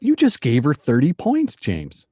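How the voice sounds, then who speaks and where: An actor as a testy, competitive husband, wildly swooping pitch. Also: male voice, huge pitch swings, a little slow, warm tone, slightly rough, no room sound, clean studio sound, heavily acted. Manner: literal-minded, testy. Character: competitive, irritable husband who plays to win. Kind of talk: radio play acting.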